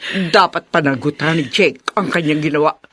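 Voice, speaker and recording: A woman's voice: low-pitched, moderate pace, a bit bright, slightly rough. An actress playing a scheming middle-aged aunt who shields her wayward son in melodramatic radio drama style, swinging between pleading and commanding. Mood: pleading, insistent.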